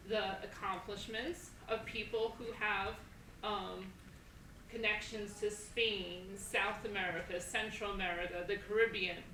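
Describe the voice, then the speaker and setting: A woman moderating an assembly, explaining things deliberately and repeating phrases for emphasis, recorded in an echoey hall. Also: mid-range voice, bright, forceful tone, recorded from a distance. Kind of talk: educational talk to an assembly. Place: school assembly, speakers on a stage. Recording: echoey hall from afar, faint noise.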